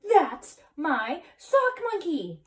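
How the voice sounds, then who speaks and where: Woman voicing an excitable high-pitched little boy kitten. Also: moderate pace. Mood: excited, loud. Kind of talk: children's storybook read-aloud.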